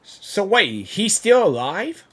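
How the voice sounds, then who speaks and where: A man giving casual, excitable reaction commentary on a game, often repeating himself. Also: sits high, slow pace. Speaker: gaming reaction host.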